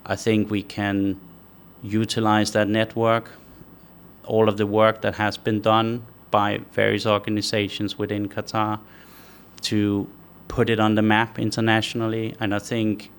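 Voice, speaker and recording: Uomo danese dalla voce bassa e ruvida, ospite di un'intervista, parla in modo misurato e riflessivo. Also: male voice, monotone, slight background noise. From Denmark. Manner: measured, thinks aloud with frequent restarts. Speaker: guest, company founder. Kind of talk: conversational interview answers.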